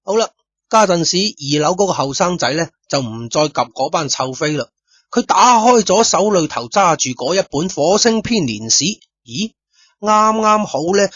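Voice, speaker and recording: A man's voice: a little high, fairly bright tone, husky, dry recording, highs cut off. A man with a Cantonese accent narrating a story in colloquial radio-drama style, speaking directly to his listeners. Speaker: storyteller, host.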